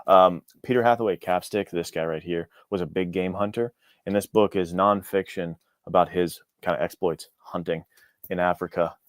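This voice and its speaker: A man speaking as a guest author in a casual podcast conversation, with an informal, halting way of talking. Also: low voice, steady tone, no echo, studio quality. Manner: informal, halting, peppered with "like" and "you know".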